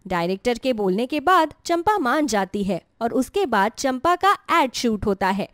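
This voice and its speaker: A woman's voice: on the high side, sing-song, measured pace, fairly bright tone, no echo, clean studio sound. A woman narrating a scripted moral story as a storyteller.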